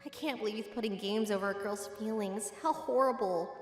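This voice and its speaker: A woman playing a tsundere teenage girl in a romcom manga dub, expressive and huffy, a childhood friend in denial. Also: medium pitch, brisk, faint music behind, close to an everyday voice. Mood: quietly conflicted.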